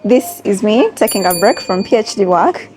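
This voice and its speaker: A woman's voice: medium pitch, expressive, moderate pace, background noise. Chatty young woman vlogging. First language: Luganda. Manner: chatty, reflective, speaks straight to viewers. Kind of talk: casual travel vlog chat. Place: cafe and airport, indoors.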